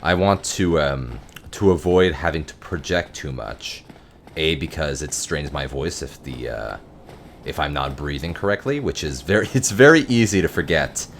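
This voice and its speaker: Very deep-voiced man, self-mocking streamer. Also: a bit bright. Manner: self-deprecating, wry, muttering curses. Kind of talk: casual gameplay commentary. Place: home office. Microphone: close desk mic.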